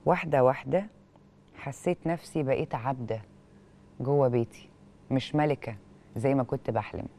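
A woman with an Egyptian accent hosting a television show, reading and commenting on a letter in an expressive, questioning way, on a lapel mic in a studio. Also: very deep voice, moderate pace, slight background noise. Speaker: television host.

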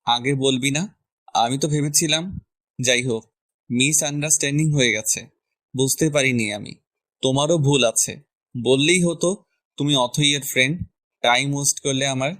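A curt, dismissive young man with a voice pushed far down into gravelly depths, as a woman acts him out in a storybook romance. He talks briskly and with disdain, and the sound is close and dry. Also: rumbling low, fairly bright tone, no echo, pitched below the performer's own voice. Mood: grudging. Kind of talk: storybook character voice.

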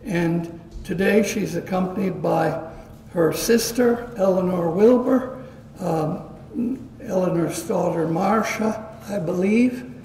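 Older male lecture introducer, unhurried reader, dark mellow tone, distant roomy hall recording. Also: high-pitched. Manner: measured, reads from notes. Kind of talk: reading a prepared introduction. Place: community meeting room. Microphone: stand mic at a podium. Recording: roomy hall sound, distant mic, faint hiss.

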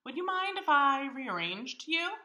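A woman voicing a friendly, grateful male hermit crab from a picture book, with earnest, drawn-out delivery. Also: high-pitched, big pitch swings, sharp tone, pitched above the performer's own voice, heavily acted. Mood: admiring, polite. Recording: echoey room in a house.

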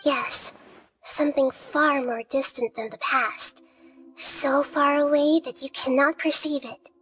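A woman voicing a small, gentle child goddess of wisdom in a video game, soft and childlike, measured and philosophical. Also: high voice, steady tone, soft background music, low-quality recording. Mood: thoughtful.

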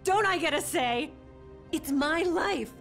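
A woman's voice: high-pitched, animated, slow, fairly bright tone, heavily acted. A woman playing an anguished, guilt-ridden character in a video game, emotional, reproachful and pleading. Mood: indignant, hurt. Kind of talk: dramatic video game acting. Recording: music playing behind.

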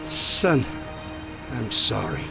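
Gravelly, dying cop father. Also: medium pitch, very animated, drawn-out words, music in the background, full character voice. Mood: pained, remorseful. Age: middle-aged. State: weak, struggling to speak. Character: gravely injured police patrolman father, remorseful. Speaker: patrolman. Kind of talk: audio drama acting.

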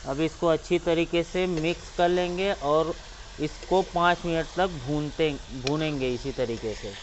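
A man's voice: fairly high pitch, unhurried, muffled recording, a little hiss or hum. A man hosting a cooking channel, walking through each step of a recipe outdoors beside a clay stove. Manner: explains each step, points things out.

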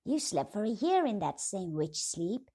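A woman shouting as high, strained little dwarf men. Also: big pitch swings, a little slow, husky, exaggerated voice. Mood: insistent. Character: gruff small male dwarves, urgent and blunt.

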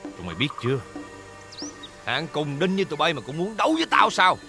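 A man's voice: on the high side, sing-song, pushed and bright, soft background music, heavily acted. An actor playing an arrogant, cruel young rich master with a southern Vietnamese accent, taunting and drawing out his words in a dramatic period style. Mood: smug, menacing. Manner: haughty, taunting, drawn-out words.

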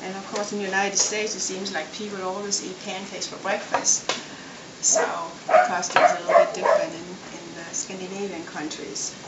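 Middle-aged woman, Danish accent, home cook, unhurried step-by-step explaining, roomy sound, heavy background noise. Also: mid-range voice, little pitch movement, muffled recording. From Denmark. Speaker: home cook and cooking show host. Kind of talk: casual cooking demonstration. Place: home kitchen, indoors. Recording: echoey room, loud noise, kitchen clatter.

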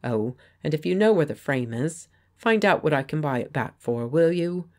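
A woman reading the part of a pleasant, confident gentleman painter who speaks with quiet authority. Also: low-pitched, animated, normal speed. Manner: pleasant, firm, persuasive. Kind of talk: audiobook character voice.

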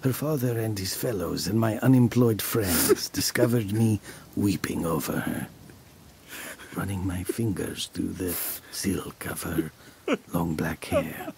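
An actor playing a guilty, wealthy man narrating his dark confession in a measured, brooding storytelling style. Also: deep voice, creaky, slight background noise. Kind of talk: dramatic first-person story narration.

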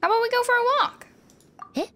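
A woman streaming a game with casual, playful, animated commentary, exclaiming and teasing as she goes. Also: very high voice, quick pace, noisy background. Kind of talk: casual live gameplay commentary. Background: game music.

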